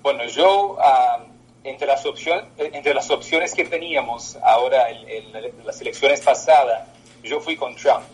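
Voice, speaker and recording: A man in his twenties whose first language is Portuguese, answering interview questions in halting speech with many pauses. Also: medium pitch, slightly rough, poor audio. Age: 20s. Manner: halting, with many filler sounds. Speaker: political candidate. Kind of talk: interview by phone.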